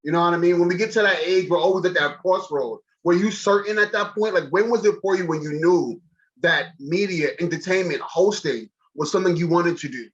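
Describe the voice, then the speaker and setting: A man's voice: sits high, a little fast. An American man in his thirties interviewing a guest casually and informally over a video call, with an enthusiastic manner. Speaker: talk show host, interviewer. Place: small room indoors, on a video call. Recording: slightly dull video-call audio.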